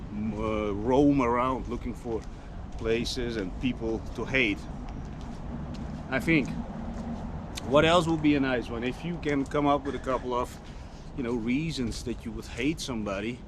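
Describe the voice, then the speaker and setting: A man from the Netherlands, vlogging outdoors in a mid-pitched voice. He rambles and restarts as he hunts for words. Traffic and engine noise run clearly behind him. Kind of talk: casual monologue to camera.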